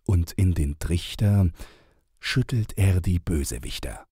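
A man narrating a storybook in verse as an audiobook reader, measured and with dramatic pauses. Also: low voice, expressive, warm tone, breathy edge, no echo, clean studio sound.

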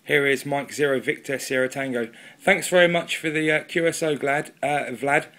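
A man's voice: mid-range voice, fairly even intonation, normal speed, bright, forceful tone. Rambling Englishman, casual and self-deprecating. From England. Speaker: amateur radio hobbyist and video reviewer. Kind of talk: casual product review and radio chat. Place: indoors at a wooden table, off camera.

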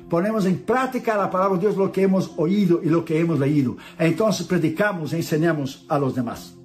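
A middle-aged man delivers a sermon with earnest conviction. His voice sits a little high for a man, and he slips into a solemn, measured cadence when quoting scripture. Soft music plays faintly beneath him. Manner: earnest preaching, reads Bible verses aloud in solemn cadence. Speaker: preacher.

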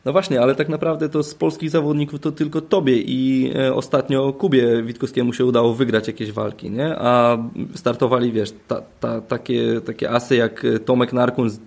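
A man hosts a podcast in a relaxed, chatty way. He prompts his guest with loose, rambling questions at a middle pitch. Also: normal speed, creaky, few pauses. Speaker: podcast host. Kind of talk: casual interview chat.

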